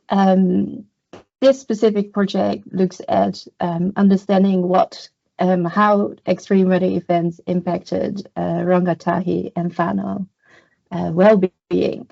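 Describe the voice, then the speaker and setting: A woman hosting a webinar, giving an unhurried formal welcome and introduction that opens with a Māori greeting. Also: mid-range voice, gravelly, mellow. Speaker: webinar host. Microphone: video call. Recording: dull lo-fi video call audio.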